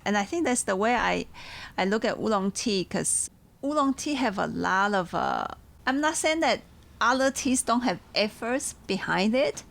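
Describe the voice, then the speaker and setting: A woman's voice: mid-range voice, lively intonation, normal speed, vocal fry, a bit bright. A woman with a Taiwanese accent chatting casually and reflectively as a podcast host, searching for her words. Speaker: podcast host and tea seller.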